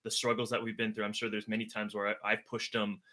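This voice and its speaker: A man's voice: lowish voice, completely flat delivery, quick pace, bright, forceful tone. A young man whose first language is Mandarin, talking earnestly and reflectively as a guest in a casual livestream chat. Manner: reflective, earnest, many fillers and restarts. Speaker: guest, older brother. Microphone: video livestream.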